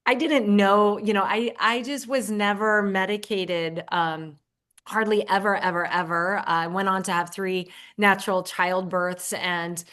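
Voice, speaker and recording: A woman's voice: mid-range voice, fairly even intonation, measured pace, a bit bright. A middle-aged woman talking earnestly in a casual podcast conversation, often restarting her sentences. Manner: earnest, talks in affirmations, frequent restarts. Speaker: podcast guest and host of her own show.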